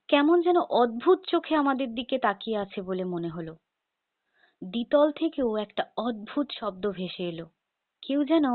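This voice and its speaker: A woman's voice: a little high, dry recording. Tinny, phone-like woman narrating ghost stories. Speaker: horror story narrator. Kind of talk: scripted first-person ghost story narration. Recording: tinny phone-like narrow band.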